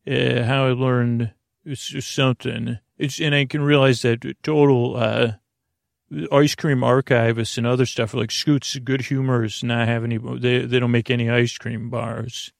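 Creaky-voiced man rambling at bedtime. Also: mid-range voice, no room sound, studio quality. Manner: meandering, halting, trails off mid-word; silly, goofy and friendly. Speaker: podcast host. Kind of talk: rambling, unscripted bedtime monologue.

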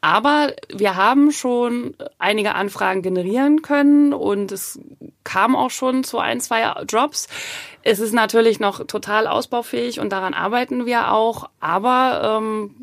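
A woman's voice: on the high side, unhurried, dry recording, studio quality. A woman speaking as an agent in a conversational interview, explaining reflectively with acted-out examples. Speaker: voice talent agent being interviewed.

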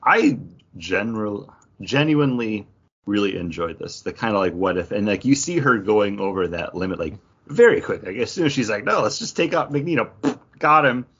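Animated, husky-voiced man chatting. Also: lowish voice, moderate pace, dull audio. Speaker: podcast co-host. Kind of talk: casual chat about comics.

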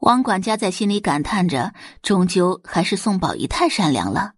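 A woman reading scripted narration for an audio drama in an expressive storytelling style. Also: lowish voice, normal speed, breathy edge, no echo, studio quality.

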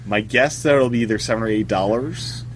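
A man's voice: on the low side, a little fast, slight background noise. A man hosting a casual video game podcast who chats, laughs often and trails off mid-sentence. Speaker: podcast host. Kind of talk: casual chat about video games.

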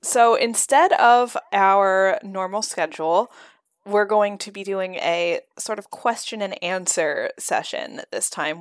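A woman's voice: on the low side, no echo. Woman podcast host, dry close sound. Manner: friendly, laughs often, a few ums. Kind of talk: casual chat.

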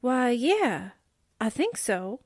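A woman's voice: fairly high pitch, sing-song, slow pace, slightly rough, dry recording, studio quality, exaggerated voice. An actress playing a quippy, slangy newspaperwoman sidekick in a 1940s-style radio drama. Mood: game, hopeful. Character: wisecracking, game newspaperwoman sidekick, bubbly temper.

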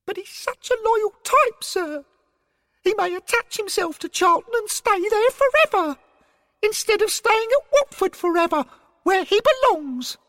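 A man voicing an anxious, polite thirteen-year-old boy in a high falsetto, deferential but insistent, in a story. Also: animated, a little slow, bright, forceful tone, in a large hall, exaggerated voice. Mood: pleading, insistent.

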